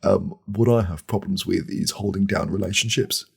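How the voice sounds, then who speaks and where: A man playing a boastful but insecure podcast co-host in a comedy parody, stammering and repeating himself in a raspy, creaky voice. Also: deep voice, heavily acted. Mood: fragile, sad. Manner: stammering, halting, repetitive.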